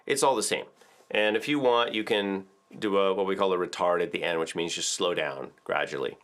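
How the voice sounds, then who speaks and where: Middle-aged male music teacher, slightly low voice, casual explaining tone, studio video lesson. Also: a little fast, fairly bright tone. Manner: chatty, explains with filler words and counts aloud. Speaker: ukulele teacher. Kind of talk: relaxed video tutorial. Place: indoor studio with drums.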